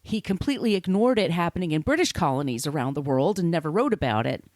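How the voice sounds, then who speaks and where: A woman's voice: fairly low pitch, big pitch swings, fast talker, darkish tone, no room sound. An American woman hosting a podcast, telling a story from notes conversationally, with informal, wry asides. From United States. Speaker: podcast host.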